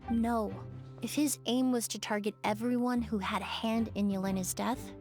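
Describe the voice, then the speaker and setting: Woman acting poised young lady detective, anime game, high precise voice, faint music. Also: fairly even intonation, a little mellow, lightly acted. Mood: grave. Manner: measured, polite, precise. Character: poised young woman investigator, analytical and composed.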